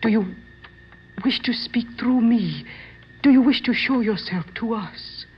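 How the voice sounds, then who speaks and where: An actress playing an imperious, solemn middle-aged séance medium in a radio drama, with formal, commanding delivery. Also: female voice, a little high, a little slow, close to an everyday voice. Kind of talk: old-time radio drama acting. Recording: dull lo-fi radio recording, faint hiss.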